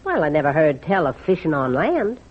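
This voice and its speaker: A woman playing a gruff but kindhearted rural foster mother in an old-time radio drama, husky, folksy and scolding with a dry wit. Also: low voice, very animated, a little fast. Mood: wry. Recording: muffled old radio recording.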